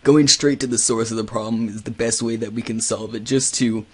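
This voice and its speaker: A man's voice: medium pitch, steady tone, a little fast, husky, natural voice. A man making a prank phone call as an earnest, officious website manager, speaking in a mock-formal, long-winded, stern way. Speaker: prank caller.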